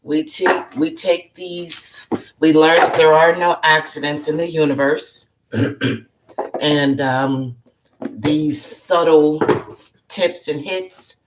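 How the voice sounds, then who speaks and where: Woman host, low and unhurried, froggy from allergies, casual live-stream chatter with audible background noise. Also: fairly even intonation. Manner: chatty, greets viewers by name, clears throat often. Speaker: psychic life coach hosting a live show.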